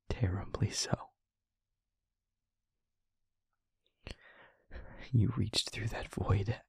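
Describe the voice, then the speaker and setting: Male actor as sorrowful wounded demon, low husky voice, half-whispered, slow and halting, solemn, close dry recording, intimate audio drama. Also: darkish tone, no room sound, full character voice. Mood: grave, sorrowful. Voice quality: breathy, husky near-whisper with gravelly edge. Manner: slow, halting, solemn delivery in short phrases.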